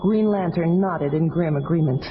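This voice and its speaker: High-voiced male storybook narrator. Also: normal speed, a little hiss or hum. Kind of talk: dramatic scripted storybook narration. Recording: dull old audiobook, loud music behind. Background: loud music.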